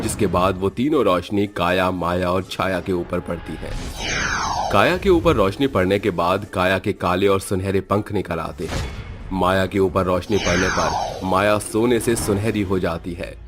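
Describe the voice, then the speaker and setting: A man with a deep, low-pitched voice narrates a scripted horror tale. He works as the storyteller between the scenes. Faint music runs underneath. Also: moderate pace.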